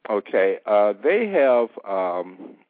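A man co-hosting a call-in radio show as interviewer, asking rambling, halting questions full of fillers. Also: lowish voice, very animated, dry recording. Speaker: radio co-host and newspaper reporter. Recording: dry, tinny phone line.

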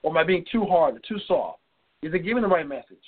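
A man's voice: on the high side, very animated, fast, breathy edge. A man co-hosting a casual advice talk, chatty and checking in with "okay?" as he goes. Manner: conversational, tags lines with "okay?" and "you know". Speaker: co-host. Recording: phone-like narrow audio.

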